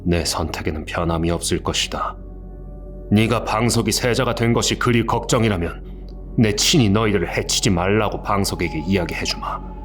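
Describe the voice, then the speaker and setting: Guy doing a stern old king, low gruff voice, barks orders, blows up in anger. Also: moderate pace, soft background music, lightly acted. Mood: angry. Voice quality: commanding, clipped royal speech. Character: stern aging male king, father, commanding and wrathful. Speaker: voice actor. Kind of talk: audio drama acting.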